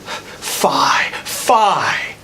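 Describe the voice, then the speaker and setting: A man playing a wise old holy friar in a classical Shakespearean monologue, delivering verse in a deliberate, theatrical manner. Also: fairly high pitch, big pitch swings, sharp tone, a little hiss or hum, heavily acted. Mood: stern, outraged.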